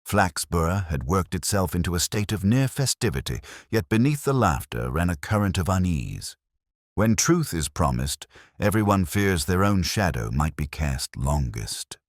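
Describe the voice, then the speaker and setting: Mystery narrator: male, middle-aged, extremely low voice, measured literary delivery with wide melodic movement. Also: very animated, no echo, clean studio sound. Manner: measured, literary, suspenseful storytelling. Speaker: audiobook narrator. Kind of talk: scripted dramatic mystery narration.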